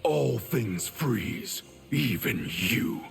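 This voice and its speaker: Gravelly, proud ice warrior. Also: male voice, mid-range voice, expressive, very slow, faint music behind, heavily acted. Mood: cold pride. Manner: stern, formal, threatening. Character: cold, proud male ice-warrior clan leader. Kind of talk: fighting game character banter.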